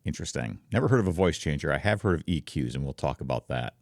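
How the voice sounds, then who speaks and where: Man, very low voice, casual streamer explainer, informal, close dry microphone sound. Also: lively intonation, quick pace, creaky, a little mellow, no room sound. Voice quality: deep voice. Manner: informal, explanatory, demonstrating as he goes. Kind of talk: casual explainer talk to camera. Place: treated room at home. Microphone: close foam-covered microphone, slightly offset from mouth.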